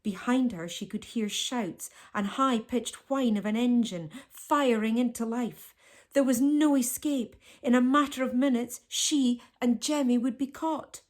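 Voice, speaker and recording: A woman reading a children's storybook aloud as a teacher, with expressive storytelling delivery. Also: on the high side, a little slow.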